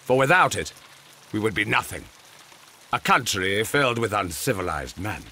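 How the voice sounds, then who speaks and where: An actor playing an imperious, calculating Victorian government gentleman in a video game, pompous and deliberate, with a British accent. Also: lowish voice, sing-song, noisy background. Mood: ominous, grave. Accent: British English. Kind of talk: video game cutscene acting.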